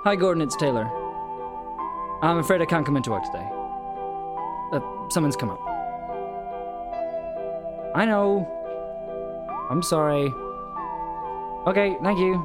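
Put-upon young man, grumbling, exasperated, hesitant, higher-pitched, audio drama. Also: animated, measured pace, pauses often, over loud music. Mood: apologetic.

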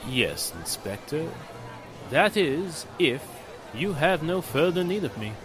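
A man's voice: on the high side, very animated. An actor playing a grave, formal older businessman in a mournful 1940s radio drama style. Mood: hesitant, polite. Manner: measured, formal, deliberate. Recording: music and crowd murmur behind the voice.

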